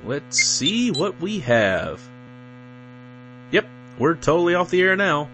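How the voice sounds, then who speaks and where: A man plays a grumpy radio station co-owner in a comic audio drama. His mid-pitched voice swings widely with exasperation and sarcasm. A hum runs behind it. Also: sing-song, slow, a bit bright, full character voice, low-quality recording. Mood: exasperated. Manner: irritable, sarcastic. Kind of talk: comedic audio drama dialogue.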